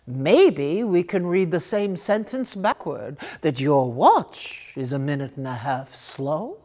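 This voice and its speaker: Woman voicing a defensive father, animated pitch. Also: slow pace, full character voice. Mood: defensive, retorting. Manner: argumentative, rhetorical. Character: flustered, defensive father proud of his clock.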